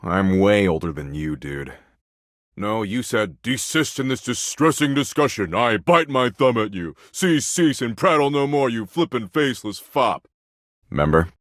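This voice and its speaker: A cocky game character with a lowish voice, recorded close and dry. He talks in lazy slang, mocking and flippant. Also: lively intonation, measured pace, fairly bright tone, dry recording. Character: cocky slangy male slacker tormentor in a game. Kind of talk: video game character acting.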